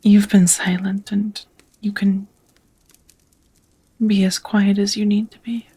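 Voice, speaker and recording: A woman voicing a devoted, nervous vampire roommate in an intimate ASMR roleplay, whispering softly in a halting, earnest, tender way. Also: medium pitch, little pitch movement. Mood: apologetic, pained. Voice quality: soft, breathy whisper.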